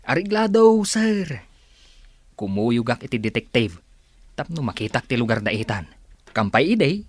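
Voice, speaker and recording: Obliging, lively-voiced male aide. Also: medium pitch, normal speed, no room sound. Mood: respectful. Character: deferential male aide doing detective errands, friendly when asking around. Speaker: radio drama actor. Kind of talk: Filipino radio drama acting.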